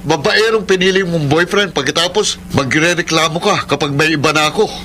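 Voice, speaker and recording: A man's voice: fairly high pitch, a little fast, background noise, natural voice. A male actor with a Filipino accent playing a weary, defiant young womanizer in a radio drama. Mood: exasperated. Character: weary, defiant young womanizer boyfriend narrating his love story. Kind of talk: Filipino radio drama acting and letter narration. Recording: music bed under voice.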